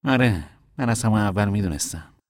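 A brooding young fugitive speaks earnestly and a little stubbornly, his voice on the low side, as an actor plays him. It sounds dry and close, with no room echo, in an audio drama. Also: expressive, natural voice.

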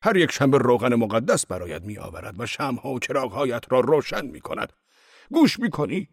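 A man voicing a furious middle-aged peasant father who shouts accusations in a high, strained voice. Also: big pitch swings, breathy edge, no room sound, clean studio sound.

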